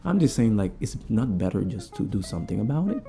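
Gravelly, mellow man making emphatic points. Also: fairly low pitch, sing-song, fast talker, soft background music. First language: not English. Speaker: podcast guest. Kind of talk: casual opinionated interview talk.

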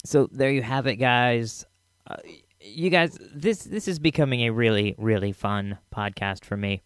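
A man hosting a podcast, chatting casually and enthusiastically in a rambling, halting way. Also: medium pitch. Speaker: podcast host. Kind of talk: casual solo podcast outro. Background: music under the voice.

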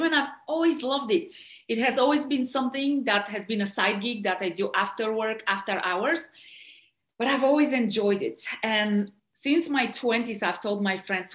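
A woman's voice: mid-range voice, fairly bright tone, roomy sound. A woman from Bulgaria with a Bulgarian accent, talking with enthusiasm as a podcast guest and laughing often. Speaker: guest, body-mind coach. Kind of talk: podcast interview.

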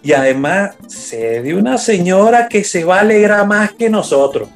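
A man's voice: sits high, expressive, moderate pace. Man playing a folksy Venezuelan working fellow over music. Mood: eager. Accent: colloquial Venezuelan. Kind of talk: radionovela acting.

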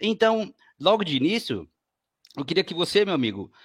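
A man's voice: sits high, sing-song, a little fast, breathy edge. Chatty Brazilian man hosting a podcast. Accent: São Paulo Brazilian. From Guarulhos, Brazil. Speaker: podcast host.

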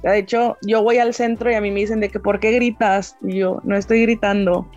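A woman's voice: mid-range voice, level tone, normal speed, a little mellow, highs cut off, soft background music. A woman from northern Mexico with a northern Mexican accent, chatting frankly and firmly as a guest. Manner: frank, firm and talkative. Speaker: podcast guest. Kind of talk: casual chat.

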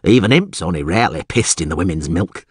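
A man's voice: low-pitched, lively intonation, a little fast, breathy edge, dry recording, clean studio sound. A man voicing a podgy, hot-tempered, blustering small-town alderman in an audiobook, full of exclamations and hesitations. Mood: blustering, chatty. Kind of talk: audiobook character acting.

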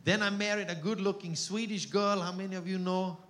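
A man's voice: high voice, steady tone, fairly quick, fairly bright tone. A middle-aged man with an Austrian German accent, preaching as a guest missionary in a church, joking with and calling out to the crowd. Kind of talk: sermon. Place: church hall.

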